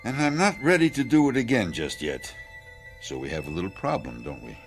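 An actor playing a secretly magical janitor in a radio drama, measured, knowing and quietly threatening. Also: male voice, on the low side, lively intonation, gravelly, soft background music. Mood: calm menace. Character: secretly magical janitor, controlled, quietly menacing older soul.

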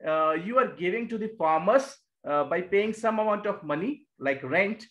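A man's voice: high-pitched, a bit bright. A man with an Indian English accent giving a recorded lecture over slides, explaining step by step, repeating key terms and answering his own questions. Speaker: exam coaching teacher. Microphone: voice-over on annotated slides.

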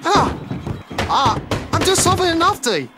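A cloned voice playing an excitable slacker cartoon character. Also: very high voice, measured pace, over loud music, heavily acted.

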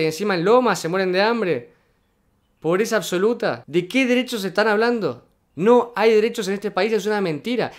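Indignant high-voiced Argentine man. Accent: Argentine Spanish. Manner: indignant, emphatic, repeating points. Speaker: political commentator. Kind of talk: reaction video commentary.

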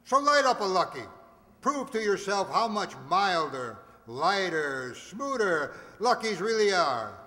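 A man playing an earnest, emphatic cigarette pitchman in an old 1940s radio show, declaiming his sales lines. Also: sing-song, measured pace, sharp tone, full character voice. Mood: earnest selling. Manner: declamatory sales patter with built-up repetition. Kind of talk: 1940s radio advertisement acting. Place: stage in a hall. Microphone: microphone on a stand. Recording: echoing hall sound.

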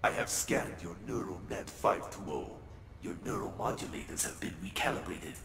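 A man's voice: low-pitched, moderate pace, echoey room, heavy background noise. A man playing a cold, menacing cyborg assassin in a video game, with clipped, imperious delivery. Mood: cold menace. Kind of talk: video game villain acting. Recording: loud music over the voice.

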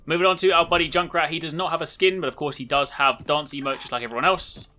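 A man's voice: a little high, fast, bright, forceful tone, a little hiss or hum. A man giving an upbeat, casual and enthusiastic video walkthrough as a gaming host.

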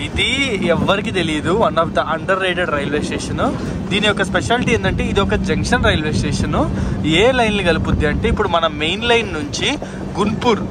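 A young man talks fast and chatty, pointing out passing sights from a train. His voice sits high for a man, and it comes through a phone held at arm's length with heavy train and wind noise behind him. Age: young adult. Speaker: travel vlogger. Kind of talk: casual travel vlog commentary. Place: aboard a moving train and on station platforms.

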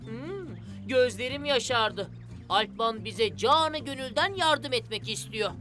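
A sarcastic, stubborn cartoon character, with a slightly high voice and a dismissive way of talking. Faint music sits behind. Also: female voice, lively intonation, slow, a bit bright. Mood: mocking. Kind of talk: animated children's series voice acting.

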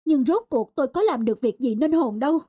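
A woman's voice: sits high, expressive, brisk, mellow. A woman voicing a meek, self-doubting young husband in a folk tale cartoon. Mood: dejected.

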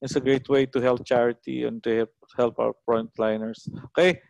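A middle-aged man with a Filipino accent, hosting casually on a video call in a halting, repetitive way. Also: medium pitch, level tone, gravelly, a little mellow, no echo, lo-fi sound. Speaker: online auction host. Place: small room at home, indoors. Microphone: headset on a video call.

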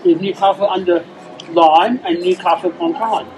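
Guy at dinner, slightly higher voice, teasing, faint noise. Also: fairly even intonation, normal speed, darkish tone, poor audio. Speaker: dinner companion. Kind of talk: casual dinner chat. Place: restaurant, indoors.

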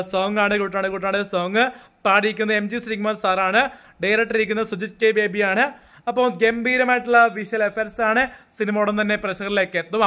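A young man with a Malayali accent giving a casual, halting and repetitive promotional talk into a phone at arm's length in a room at home. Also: high voice, bright, forceful tone, smooth. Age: young adult. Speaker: song promoter. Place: small room at home, indoors.

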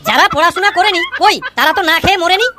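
An extremely high, forceful cartoon schoolboy argues like a little know-it-all. The pitched-up delivery is preachy and fast, in comic dialogue. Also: male voice. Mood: defiant. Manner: argumentative, preachy.

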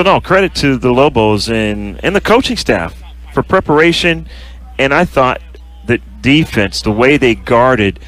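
A man working as a radio color analyst, breaking down a win with halting, earnest remarks and many restarts. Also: lowish voice, fairly bright tone, poor audio.

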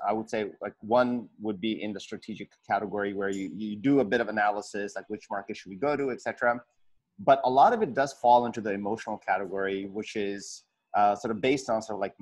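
A man's voice: fairly low pitch, rough recording. A man in his thirties who grew up in California, a founder speaking candidly and reflectively on a video call from an office, with many fillers. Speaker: startup founder. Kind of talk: casual panel talk on a video call. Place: office with posters. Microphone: wired earphones on a video call.

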